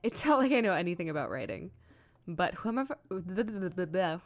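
A woman's voice: on the low side, expressive, fairly quick. A woman game streamer, chatting casually and reading lines aloud as she plays. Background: game music.

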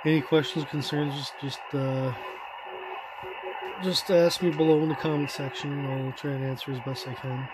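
Flat-toned man over loud radio static. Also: medium pitch. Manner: halting, loose, unscripted phrasing. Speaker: amateur radio hobbyist giving a tutorial. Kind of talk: casual hands-on gadget walkthrough. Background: shortwave receiver noise.

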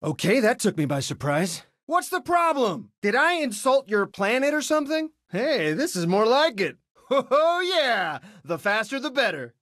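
Cocky young pilot, swinging pitch, dry sound. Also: male voice, very animated, moderate pace, few pauses, a bit bright, no room sound, exaggerated voice. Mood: excited, urgent, then pleased, cocky. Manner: breezy, confident, wisecracking. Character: cocky, friendly young male resistance pilot. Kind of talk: video game character voice clips.

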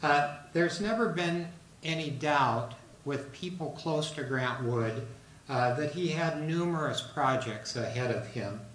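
An older man giving a measured public lecture from a podium, with frequent fillers and restarts. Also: a little high, fairly bright tone. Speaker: guest lecturer. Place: large lecture room with a seated audience. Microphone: podium microphone, recorded by a far camera. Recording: roomy hall with faint noise.

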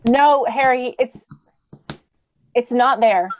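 Woman acting a high-voiced, remorseful young wife. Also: slow, lo-fi sound, slight background noise. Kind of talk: old-time radio drama acting. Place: indoors, on a video call.

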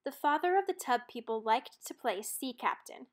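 A woman narrating a children's storybook aloud in an expressive read-aloud style. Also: high-pitched, normal speed.

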